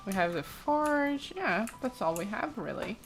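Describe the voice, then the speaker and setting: A woman with a mid-pitched voice hosts a casual gaming let's play. She talks informally and exclaims often, thinking aloud as she goes. Music plays faintly behind her. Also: very animated, unhurried.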